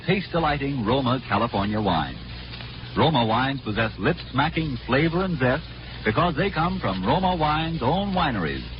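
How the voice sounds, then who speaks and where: Lively 1940s radio ad man. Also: medium pitch, noisy background. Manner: polished, salesmanlike, emphatic. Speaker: commercial announcer. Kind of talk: 1940s radio advertising read. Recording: dull 1940s radio recording with music.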